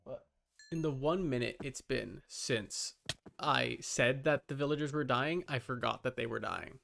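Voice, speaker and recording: Halting man with creaky voice, streaming casually. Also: mid-range voice, fairly bright tone. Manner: halting, rambling, muttering to himself. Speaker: livestreamer. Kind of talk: casual gameplay chat with viewers.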